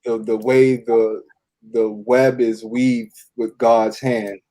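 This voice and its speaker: Mellow man chatting haltingly as a guest. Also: medium pitch, little pitch movement. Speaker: interview guest, cast member. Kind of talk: casual conversation.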